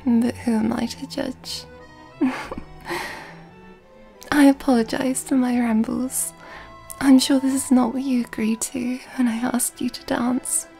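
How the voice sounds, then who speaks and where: A woman playing an elegant, flirtatious vampire in an ASMR roleplay, speaking in a soft, breathy half-whisper with refined, courtly phrasing, close to the mic. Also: on the high side, level tone. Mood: warm, charmed. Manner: refined, old-fashioned courtly phrasing, unhurried. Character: elegant, flirtatious, mysterious female vampire at a masquerade ball. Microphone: close microphone. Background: music.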